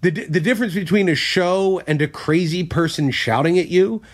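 A man's voice: sits high, moderate pace. A man who is a stand-up comedian, telling self-deprecating stories in casual podcast chat. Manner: storytelling, self-deprecating, animated when telling bits.

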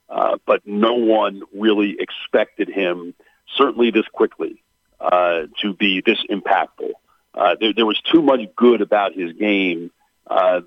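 A man's voice: lowish voice, no echo. A man calling in as a guest to a radio sports talk show, breaking down a basketball player bluntly with lots of "uh" and "you know". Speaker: basketball play-by-play announcer guest. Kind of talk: radio sports interview, analytical. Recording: tinny phone line.

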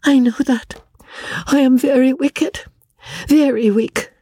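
A woman doing a high, distraught young woman. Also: fairly even intonation, slow, mellow, dry recording, studio quality, exaggerated voice. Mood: weak, pleading. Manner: wild, pleading, tearful.

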